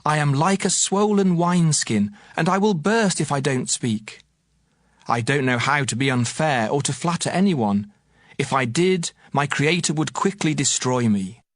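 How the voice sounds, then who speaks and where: A man's voice: on the high side, measured pace, no room sound, studio quality, close to an everyday voice. An actor playing an earnest young man who admonishes his elders in an emphatic, declamatory way, in a dramatized audio Bible. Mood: indignant, bursting. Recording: music behind the voice.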